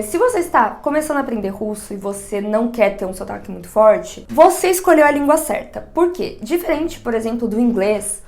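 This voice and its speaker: A young woman speaks with a São Paulo accent, her voice sitting somewhat high. She talks frankly and chattily straight to camera, punching her points with emphatic asides. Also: darkish tone. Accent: São Paulo Portuguese. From Brazil, São Paulo. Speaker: vlogger. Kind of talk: casual direct-to-camera vlog.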